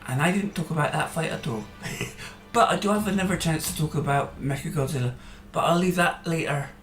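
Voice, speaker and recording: A casual gaming host talks loosely over gameplay, restarting sentences and filling pauses with "uh" as his voice wears down. The recording rings in a roomy space, with faint music under the speech. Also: mid-range voice, a bit bright. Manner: chatty and rambling, with hesitant fillers and restarts. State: voice tiring.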